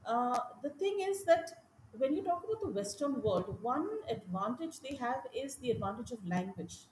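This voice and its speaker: A woman with an Indian English accent, a teacher speaking as a podcast guest, talking in an animated, emphatic way and often repeating her points. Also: on the high side, moderate pace, slight background noise. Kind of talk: conversational interview. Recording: echoey room with faint ambience.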